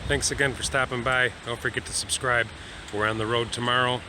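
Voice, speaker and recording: A man's voice: medium pitch, little pitch movement, brisk, over loud music, low-quality recording. A man talking casually as a travel vlogger, in a rambling, hesitant way. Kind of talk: casual vlog talk.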